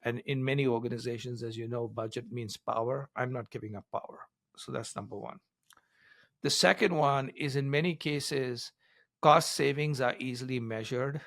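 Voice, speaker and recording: An older man speaks as an interviewed advisor, in a medium-pitched voice. He explains things in a conversational but emphatic way, setting out his points in order. The recording is dry, with very little room sound. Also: moderate pace, gravelly, fairly bright tone, no echo. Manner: emphatic, explains in numbered points with acted-out quotes. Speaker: business author and advisor being interviewed.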